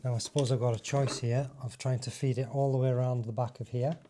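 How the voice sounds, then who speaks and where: A man's voice: medium pitch, flat delivery, quick pace, noisy background. A man giving a casual hands-on demonstration, thinking aloud informally as he works through each step. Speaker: motovlogger presenting a fitting tutorial.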